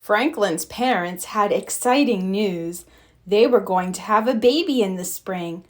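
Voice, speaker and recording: A woman narrating a children's picture book aloud, gentle and expressive. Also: mid-range voice, a little slow. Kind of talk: read-aloud storybook narration.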